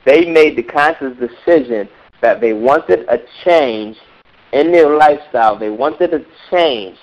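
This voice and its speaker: Hoarse male coach, emphatic and repetitive, on a dull lo-fi teleseminar line. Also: medium pitch, expressive, measured pace, darkish tone. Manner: emphatic, repeats words for stress. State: hoarse from a cold. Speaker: music business coach. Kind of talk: motivational teleseminar talk.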